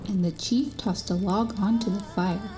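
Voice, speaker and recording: A woman's voice: mid-range voice, fairly even intonation, normal speed, noisy background, natural voice. A woman playing a cheeky eight-year-old boy telling tall tales in an audio drama. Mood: ominous, teasing. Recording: music bed behind the voice.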